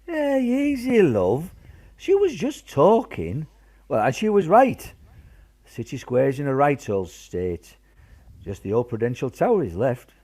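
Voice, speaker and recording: A man in a radio play acting a gruff, cheery old grandfather, blustery and reassuring. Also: fairly high pitch, wildly swooping pitch, unhurried, dark tone, exaggerated voice. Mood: placating. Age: older. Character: gruff, cheery old grandfather, proud wartime shelter builder. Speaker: amateur radio play actor.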